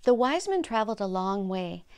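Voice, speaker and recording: An older woman telling Bible stories for children in short, simple sentences, pausing to explain. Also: mid-range voice, sing-song, clear, resonant tone. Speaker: storyteller and host of a children's story program.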